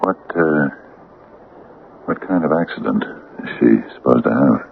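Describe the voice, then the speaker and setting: An actor in an old-time radio drama playing an uneasy, reluctant small-town conspirator with a gravelly voice and a halting, doubtful manner. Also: male voice, a bass voice, expressive, heavily acted. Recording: tinny, noisy 1980 radio broadcast recording.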